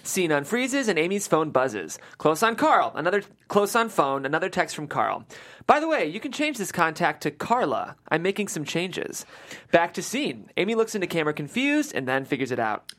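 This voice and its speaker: A low-voiced woman reads stage directions at a table read. Her pitch moves widely as she emphasizes each screen cue and caption. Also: very animated, moderate pace, fairly bright tone. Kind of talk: script read aloud at a table read.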